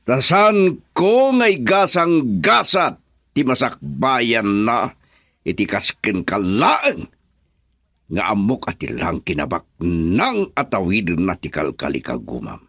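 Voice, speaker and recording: A man's voice: lowish voice, sing-song, takes it slowly, fairly bright tone, no room sound, clean studio sound, heavily acted. A man acting a wealthy, stern, condescending father in a radio drama, with a Filipino accent. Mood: haughty, pressing. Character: wealthy, haughty, disapproving father of a young woman.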